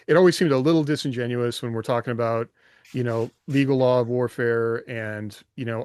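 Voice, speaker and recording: Male podcast co-host, mid-pitched, reflective and rambling, casual, very dry close sound. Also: dry recording. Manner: reflective, rambling, hedging with rhetorical questions.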